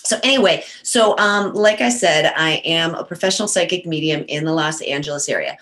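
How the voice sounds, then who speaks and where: A woman with a low voice chats loosely as a talk-show guest. She is from Western New York and has a cold that makes her sound nasal, and she fills her pauses with ums and uhs. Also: animated, fairly bright tone. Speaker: psychic medium guest. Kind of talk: casual talk-show chat.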